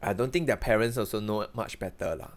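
A man's voice: fairly low pitch, fairly quick. A man, co-hosting a podcast in casual, teasing banter. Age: 30s. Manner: joking, teasing, laughs often. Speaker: podcast co-host.

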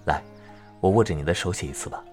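A man playing a gentle, caring young village teacher of ancient China in a period romance audio drama, tender, patient and unhurried. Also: low-pitched, soft background music, close to an everyday voice.

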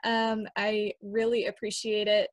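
A woman's voice: medium pitch, completely flat delivery, measured pace, dry recording. A woman hosting an online event in an informal, appreciative way as she welcomes people and introduces presenters. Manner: appreciative, thanking.

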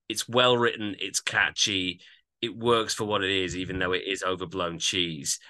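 A man's voice: on the low side, sharp tone. A man chatting casually as a podcast host, giving opinionated, analytical reviews of music.

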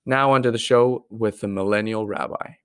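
A man's voice: on the low side, normal speed, fairly bright tone, smooth, no echo, studio quality. A man hosting a podcast, speaking earnestly and reflectively, with many fillers when he puts questions to a guest. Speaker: podcast host. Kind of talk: podcast intro and interview.

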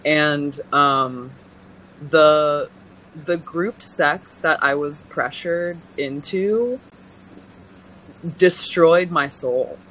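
A young woman from California with a deep voice, giving personal testimony as an interview guest in an earnest, halting way, full of pauses and restarts. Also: a bit bright, slight background noise, poor audio.

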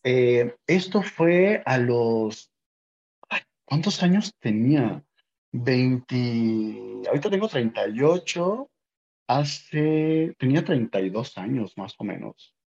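A man's voice: medium pitch, animated, slow, darkish tone. Chatty man from northern Mexico, muffled sound. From Mexico (Sonora). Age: 30s. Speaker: guest, yoga teacher. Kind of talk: casual interview storytelling.

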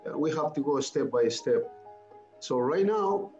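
A middle-aged or older man talking cautiously and haltingly on a business call as a prospective client. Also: on the high side, animated, darkish tone. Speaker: small accounting business owner, prospective client. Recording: echoey, dull lo-fi call audio with music. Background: music.

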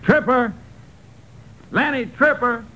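High, piercing, commanding lawman. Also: slow pace, a little hiss or hum, heavily acted, rough recording. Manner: calling out loudly, commanding. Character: commanding male Texas Ranger calling out an armed fugitive. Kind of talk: 1950s radio drama acting.

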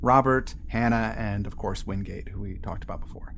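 A man's voice: lowish voice, moderate pace, gravelly, a bit bright, slight background noise. A man co-hosting a podcast, casually discussing and summarizing a story. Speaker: podcast co-host.

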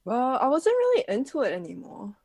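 A woman's voice: high-pitched, wildly swooping pitch, fairly quick, darkish tone, no room sound. A young woman from Malaysia chatting casually as a podcast co-host, giggling often and filling her talk with "like". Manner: giggly, many "like" fillers. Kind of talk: casual chat.

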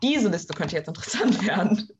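A teenage woman talking from her apartment in a casual chat, with dry, deadpan humor. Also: on the low side, a little fast, highs cut off, background noise. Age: teens.

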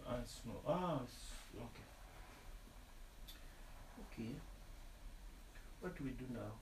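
Man, twenties, from Kenya. Halting, animated guest, echoey room with faint noise. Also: mid-range voice. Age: 20s. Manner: halting, restarts often, animated. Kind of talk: casual conversation.

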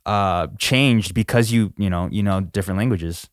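A man hosting a casual interview, relaxed and full of fillers and agreeing noises. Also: lowish voice, normal speed, dry recording, studio quality. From United States. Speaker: podcast host.

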